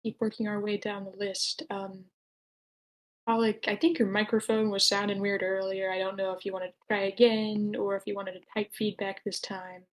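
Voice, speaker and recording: A woman with a mid-pitched voice hosts an online meeting. She moderates in a casual, conversational style through her meeting mic. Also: level tone, moderate pace, rough recording. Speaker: host moderating feedback session. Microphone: online meeting microphone.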